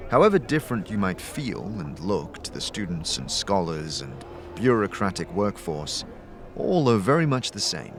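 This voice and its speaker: A man reading scripted second-person fantasy narration as an expressive storyteller. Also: low-pitched. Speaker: narrator, game master. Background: music.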